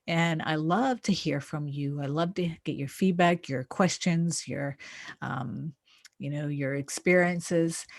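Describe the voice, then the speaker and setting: Woman, low voice, talk radio host, conversational self-help delivery, dry studio-like sound. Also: a little mellow, dry recording. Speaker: radio show host, therapist and life coach.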